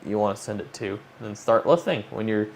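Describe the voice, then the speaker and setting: A man's voice: on the low side, expressive, quick pace, gravelly, darkish tone. A man hosting a hobby livestream, talking informally and thinking aloud as he walks viewers through a demo. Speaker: livestream host, amateur radio operator. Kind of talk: casual livestream chat, walking through a demo. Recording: noticeable background noise.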